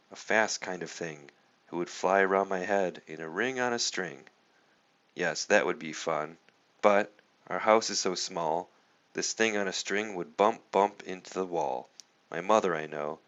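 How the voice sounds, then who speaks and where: A man reading a children's storybook aloud in an expressive, playful, rhythmic way. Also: low voice, muffled recording. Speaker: storybook reader.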